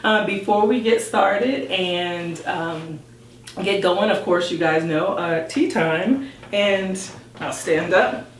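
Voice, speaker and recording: An American woman hosting a podcast interview in a chatty, informal and excited way. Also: fairly low pitch, takes it slowly. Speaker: podcast host. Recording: roomy, distant mic with background noise.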